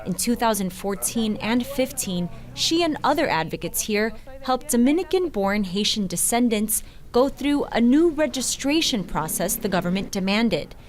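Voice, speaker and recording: A woman's voice: fairly high pitch, lively intonation, moderate pace, few pauses. A woman reading scripted news documentary narration in the manner of a reporter.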